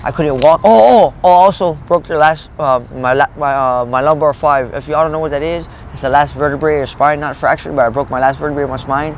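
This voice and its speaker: Fast-talking rambling man in his thirties. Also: fairly high pitch, slight background noise. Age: 30s. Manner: rambling, swears a lot, restarts often. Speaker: interviewee. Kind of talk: street interview, rambling personal story. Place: outdoors in a parking lot.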